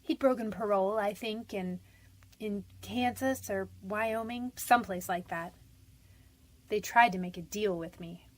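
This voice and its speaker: A woman's voice: mid-range voice, a little slow, natural voice, rough recording. An actress playing a frightened but defiant young convicted woman in a radio detective drama, defensive, pleading and slangy.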